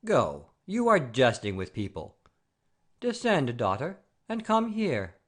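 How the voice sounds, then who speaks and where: An actor playing a pompous, outraged old gentleman father-in-law in a stage comedy, commanding and haughty. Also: mid-range voice, wildly swooping pitch, slow pace, full character voice. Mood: haughty outrage. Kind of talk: audio play of classic stage comedy.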